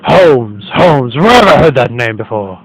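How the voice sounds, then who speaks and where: A man's voice: medium pitch, sing-song, a little slow, a little hiss or hum, poor audio. A man playing a rich host's superior, hot-tempered brother in a radio mystery. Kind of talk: radio mystery play acting.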